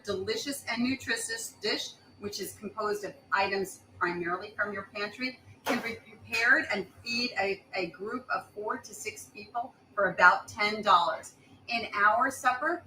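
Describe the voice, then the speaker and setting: A woman presenting a recorded cooking segment for a community meal team, with a warm, welcoming manner. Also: fairly high pitch, a little hiss or hum. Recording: echoey room.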